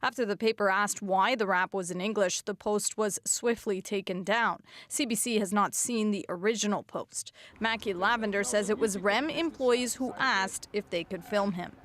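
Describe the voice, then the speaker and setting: A woman reporter narrating a scripted news story in a measured, even delivery. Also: medium pitch, dry recording.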